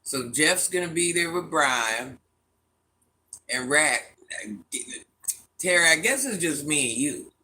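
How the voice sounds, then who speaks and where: A deep-voiced middle-aged woman hosting a casual livestream, reading viewer comments aloud and talking back to them bluntly and with sass. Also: expressive, slow, pauses often, bright, forceful tone, rough recording. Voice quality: deep, smoky voice. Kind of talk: casual live chat.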